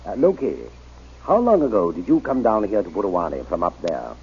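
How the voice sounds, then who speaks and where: Husky, earnest, persuasive missionary. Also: male voice, sits low, sing-song, heavily acted. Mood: coaxing, probing. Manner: deliberate, coaxing, emphatic delivery. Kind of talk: old-time radio drama acting. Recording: muffled, dull old radio recording.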